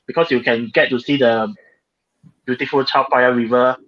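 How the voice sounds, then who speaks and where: A man hosting a relaxed livestream chat, his voice sitting at an ordinary middle pitch. He wanders through his points with plenty of ums and uhs. The sound is a little dull and lo-fi. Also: normal speed. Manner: relaxed, rambling with fillers. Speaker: host.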